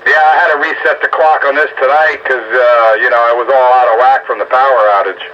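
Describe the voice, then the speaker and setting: A man's voice: mid-range voice, steady tone, fairly quick. A man in casual, folksy, rambling chat over a two-way radio as he runs a round-up. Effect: two-way radio transmission. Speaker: radio operator running a round-up. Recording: dull, noisy two-way radio audio.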